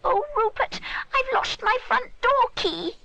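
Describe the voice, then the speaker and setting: A woman's voice: extremely high pitch, lively intonation, measured pace, creaky, heavy background noise, voice pushed up, exaggerated voice. A woman voicing an anxious young boy who is a pig, sounding distracted and flustered.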